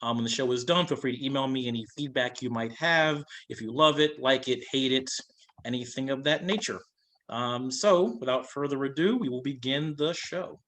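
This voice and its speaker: A man's voice: medium pitch, moderate pace, a bit bright. A man hosting, giving an informal welcome and housekeeping announcement before an online show. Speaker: host introducing an online show.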